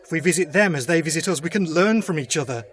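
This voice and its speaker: A man's voice: fairly high pitch, lively intonation, fast talker, natural voice. A man playing a humble soldier turned leader in an audio drama, solemn and oratorical. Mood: hopeful. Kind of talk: fan audio drama acting, speechmaking.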